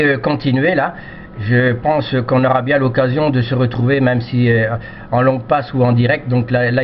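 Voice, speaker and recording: A man chatting casually over amateur radio in a polite, hesitant, rambling way, often repeating himself. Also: medium pitch. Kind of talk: casual radio contact chat. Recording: dull lo-fi radio audio with noise.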